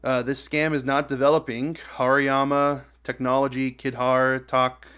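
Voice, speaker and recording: A man giving a casual reaction as a video host, reading comments aloud in a halting, stumbling way. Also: mid-range voice, little pitch movement, fairly bright tone, clean tone.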